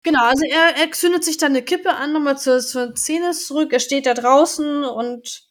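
A woman co-hosting a podcast, chatting casually and retelling audio drama scenes in a lively, conversational way. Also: high voice, normal speed. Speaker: podcast co-host.

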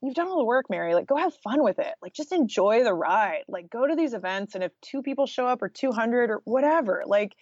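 A woman's voice: a little high, lively intonation, fairly quick, few pauses, darkish tone, no room sound, lo-fi sound. A woman talking casually and informally as an interview guest, peppering her speech with "like" and "you know". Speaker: guest author and journalist.

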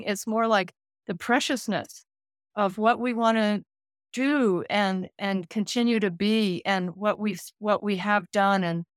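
A woman in her late sixties, a care manager and counselor, speaking gently and thoughtfully in an interview, often pausing to find her words. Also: mid-range voice, clear, resonant tone, no echo, clean studio sound. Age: 60s. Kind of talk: reflective interview conversation.

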